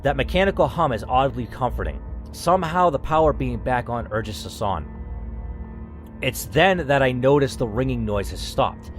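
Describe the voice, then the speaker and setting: A man reads a choose-your-path story aloud in first person and talks through his choices between passages. His pitch swings freely, and faint music plays underneath. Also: animated, normal speed. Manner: reads in first person, then breaks off to think aloud and curse. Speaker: player reading a story game aloud. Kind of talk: reading narration with playthrough commentary.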